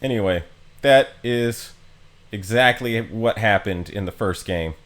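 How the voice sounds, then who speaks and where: A man's voice: on the low side, a little slow. A man streaming a game with casual, informal commentary and wry asides.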